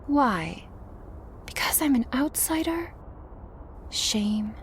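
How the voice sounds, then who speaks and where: A woman's voice: high-pitched, expressive, extremely slow, a little hiss or hum, full character voice. A woman playing a sly, taunting, thirsty vampire outsider in a scripted audio drama, teasing and smug. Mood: eager, smug.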